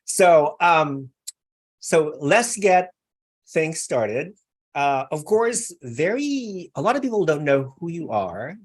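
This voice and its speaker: A man's voice: fairly high pitch, sing-song, slow. A man hosting a live online interview, chatty and effusive, with many fillers. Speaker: interview host.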